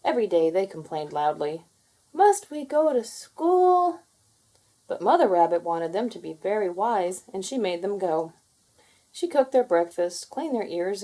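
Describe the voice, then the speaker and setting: A woman narrating a children's storybook in an expressive, deliberate read-aloud manner. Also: fairly low pitch.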